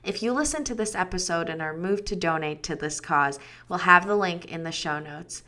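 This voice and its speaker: A woman's voice: on the low side, normal speed, sharp tone. A woman hosting a podcast, reading a scripted introduction in an upbeat, enthusiastic way. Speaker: podcast host.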